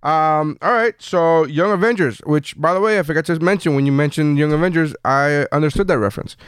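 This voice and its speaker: A man's voice: on the high side, a little fast, smooth, dry recording. A man in his late thirties hosting a casual comics panel, telling rambling, jokey stories with some swearing. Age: late 30s. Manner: profane, jokey, rambling storytelling. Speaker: podcast panel host. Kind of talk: casual chat about comic books.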